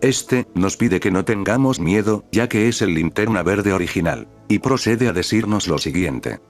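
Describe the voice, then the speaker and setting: A man narrating a scripted horror recap over dark music. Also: lowish voice, expressive, moderate pace. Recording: background music under the narration.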